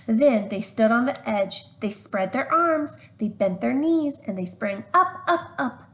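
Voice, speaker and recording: A young woman doing an expressive read-aloud for children, with chatty questions to her listeners. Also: a little high, a little slow. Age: young adult. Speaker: storyteller reading to children. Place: open living room at home. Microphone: webcam at arm's length. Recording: slightly noisy home webcam recording.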